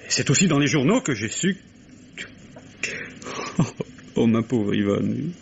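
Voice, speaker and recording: A man's voice: medium pitch, lively intonation, measured pace, gravelly. An actor playing a young male murder suspect, defensive and sardonic, giving curt, ironic retorts in vintage French radio mystery style. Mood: guarded, bitter. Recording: dull vintage radio recording, faint hiss.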